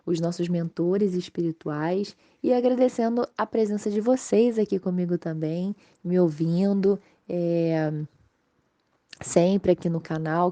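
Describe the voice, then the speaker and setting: A Brazilian woman with a slightly hoarse voice from a mild cold, giving an informal, explanatory religious study talk to viewers. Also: lowish voice, warm tone. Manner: explanatory, conversational, checking in with tag questions. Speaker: host of a spiritist study channel.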